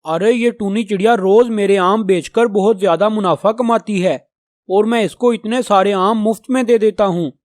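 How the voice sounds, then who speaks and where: A man's voice: high-pitched, normal speed, darkish tone, dry recording, clean studio sound, close to an everyday voice. A man playing a proud, grudging talking mango tree in a children's cartoon, speaking emphatically. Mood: grudging, stern.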